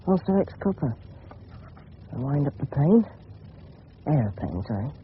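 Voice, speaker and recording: Tinny radio voice of an eager English schoolboy. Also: male voice, medium pitch, very animated, unhurried. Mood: musing, cheeky. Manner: clipped, fragmentary, boyish slang. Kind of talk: 1970s radio drama inner monologue. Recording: tinny, faintly noisy old radio broadcast.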